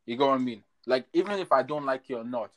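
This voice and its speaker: A man's voice: medium pitch, expressive, quick pace. A man from Ireland in a heated casual discussion, rambling and often checking that he is understood. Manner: rambling, repeats "you get what I mean".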